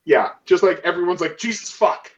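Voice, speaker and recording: Rambling, foul-mouthed man with a higher voice. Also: normal speed, muffled recording. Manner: rambling, profane. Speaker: tabletop game player. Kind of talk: casual improvised narration of a character's actions.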